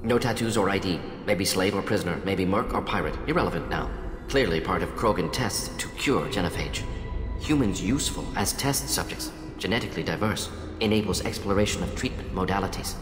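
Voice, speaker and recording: An actor playing an alien scientist in a video game, clinical and opinionated, speaking in clipped, analytical fragments. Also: male voice, deep voice, fairly quick, lightly acted. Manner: clipped telegraphic sentences, dropping small words, analytical. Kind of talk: video game character acting. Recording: echoey hall reverb, music behind. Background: game music.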